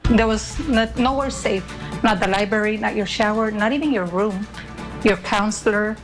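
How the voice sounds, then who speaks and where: Female news interviewee, mid-pitched, personal testimony with clear music underneath. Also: moderate pace.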